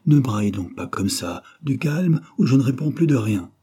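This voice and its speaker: Gruff, blustering militia commander, acted by a man, husky. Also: on the low side, normal speed. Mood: angry command. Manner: brusque, forceful, exasperated.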